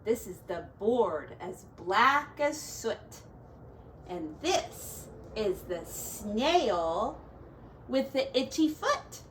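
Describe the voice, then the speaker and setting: A woman's voice: mid-range voice, sing-song, drawn-out words, fairly bright tone. A woman teacher reading a picture book aloud to children in a sing-song, dramatic way. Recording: distant mic, faint background noise.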